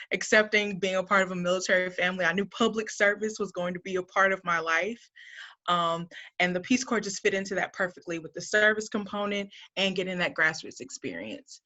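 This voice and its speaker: Woman in her 30s, recruiter presenting to students, earnest and upbeat, bright forceful voice, muffled lo-fi call audio. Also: mid-range voice. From Montgomery, Alabama, US. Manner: upbeat and earnest, laughs lightly between points.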